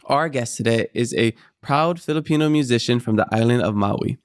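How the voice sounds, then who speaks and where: A man's voice: mid-range voice, a little fast, clean tone, dry recording, studio quality. A man, hosting a podcast in an easygoing, laid-back way and laughing readily. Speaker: podcast host. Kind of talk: casual interview chat.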